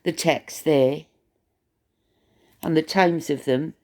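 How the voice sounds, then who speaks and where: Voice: mid-range voice, slow pace. A vlogger with a Welsh accent giving rambling, gossipy commentary to camera, chatty and stop-start, often repeating words while thinking aloud. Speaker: channel host.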